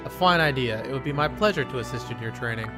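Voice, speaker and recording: A stern, devoted knight retainer, voiced by a man, speaking in measured, formal tones. He sounds dutiful and unbending, with a middle-pitched voice. Game music plays steadily behind. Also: animated, natural voice.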